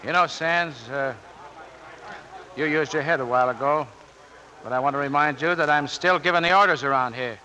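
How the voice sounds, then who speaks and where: An actor playing a tough, blunt, commanding Navy chief with an American accent, in 1940s radio drama style. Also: male voice, a little high, moderate pace, pauses often, bright, forceful tone. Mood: brisk command. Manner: gruff, blunt, authoritative. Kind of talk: 1940s radio acting, narration and dialogue. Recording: noisy old radio broadcast.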